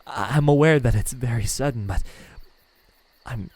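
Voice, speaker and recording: A man voicing a lonely, tender demon in love in an ASMR roleplay, speaking softly and haltingly, often near a whisper. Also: medium pitch, close to an everyday voice. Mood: hesitant, vulnerable. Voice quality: breathy, soft, half-whispered. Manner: hesitant, halting, stammering. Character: lovesick male demon, lonely, tender, self-doubting.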